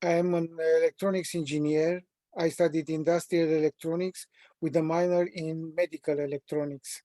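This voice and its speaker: A man in his sixties from Romania, with a Romanian accent, presenting a training webinar at a measured, deliberate pace with frequent pauses. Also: on the high side, warm tone, no echo. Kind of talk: prepared self-introduction in a training webinar.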